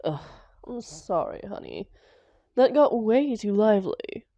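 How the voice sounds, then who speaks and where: A sardonic female supervillain acted by a woman in a comic audio drama, dramatic and hot-tempered yet devoted to her partner. Her pitch is medium and her pace unhurried. The sound is close and dry. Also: lively intonation, mellow, dry recording, highs cut off, lightly acted. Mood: soft, apologetic, fond. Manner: sarcastic, dramatic, affectionate with partner.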